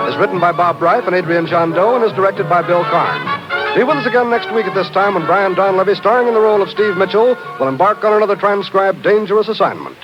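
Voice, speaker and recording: An American man announcing in polished, formal 1950s radio style, reading from a script. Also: high voice. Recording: muffled old radio recording, faint music.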